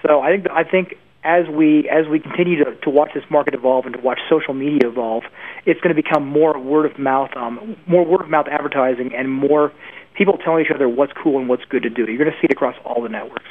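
Higher-pitched man on a phone-like line. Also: fast talker. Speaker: interview guest, internet marketing expert. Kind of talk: conversational expert commentary. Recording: narrow phone-like band, lo-fi internet radio.

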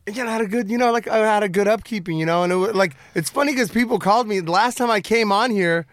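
Laid-back high-voiced guest telling a story. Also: male voice, fast, a bit bright, dry recording. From Soviet Ukraine. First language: Russian. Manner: laid-back, peppers speech with "you know" and profanity. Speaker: podcast guest. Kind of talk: casual storytelling.

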